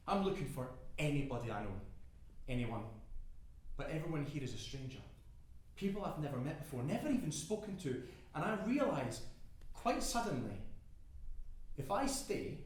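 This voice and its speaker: An actor playing a desperate oil rig worker fleeing a fire, with intense, emphatic delivery in a dramatic monologue. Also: male voice, mid-range voice. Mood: desperate resolve. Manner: intense, emphatic, swinging into screams. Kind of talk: dramatic first-person stage monologue. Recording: very echoey room.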